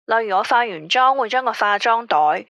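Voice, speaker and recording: A woman's voice: a little high, expressive, moderate pace, fairly bright tone, no room sound, lo-fi sound. A woman playing a tired, uneasy married caller to a late-night radio show in a radio drama, speaking guardedly in Hong Kong Cantonese. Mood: uneasy, insistent. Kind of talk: radio drama phone-in dialogue.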